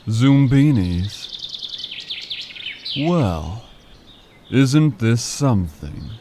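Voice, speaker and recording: An actor playing a smug, vengeful alien gang leader in an audio drama, taunting with mock politeness. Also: male voice, mid-range voice, big pitch swings, very slow. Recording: faint background noise.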